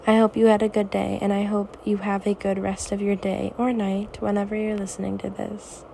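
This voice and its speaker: A teenage girl hosting her own podcast, talking softly and reassuringly in a raspy, tired voice late at night. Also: medium pitch, level tone, a little fast, slight background noise. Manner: soft, reassuring, hesitant. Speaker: podcast host. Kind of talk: personal podcast monologue.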